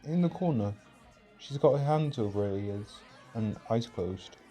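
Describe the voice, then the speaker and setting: A man playing an easygoing, considerate friend at a party in a roleplay audio, chatting in a relaxed, conversational way. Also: on the low side, a little slow. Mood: concerned. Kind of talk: casual chat in roleplay audio. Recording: music behind the voice.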